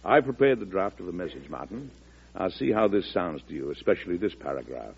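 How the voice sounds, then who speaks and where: A firm, tired older President in a vintage radio play. His voice is low and husky, with lively swings in pitch. The recording is dull and aged. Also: fairly quick, exaggerated voice. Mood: firm, resolute. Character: weary, firm male US President, folksy and stubborn. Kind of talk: old-time radio drama acting.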